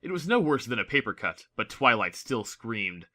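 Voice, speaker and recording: A man's voice: mid-range voice, very animated, moderate pace, sharp tone. A man narrating a fantasy story from a script in a dramatic audiobook style.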